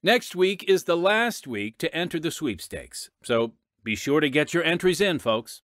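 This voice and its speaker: An American man hosting a podcast, reading scripted radio announcements with measured, emphatic phrasing. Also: on the high side, big pitch swings, a bit bright, no echo, studio quality. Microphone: close studio microphone. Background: music.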